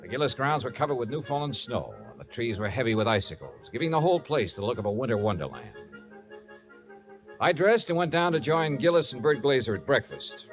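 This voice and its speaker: A man's voice: medium pitch, sing-song, a little fast, natural voice, low-quality recording. An actor playing a shrewd, wry male investigator in a 1950s radio drama, with a dry, clipped delivery. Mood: brisk, reflective. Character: wry, shrewd male investigator narrating his own case. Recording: 1950s radio recording with music.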